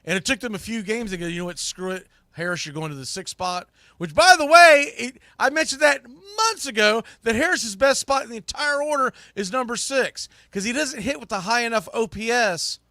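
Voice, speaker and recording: A man's voice: extremely high pitch, animated, normal speed, hardly any pauses, pushed and bright, dry recording. A man hosting a live sports talk podcast, speaking casually and emphatically with informal, opinionated, mildly salty phrasing.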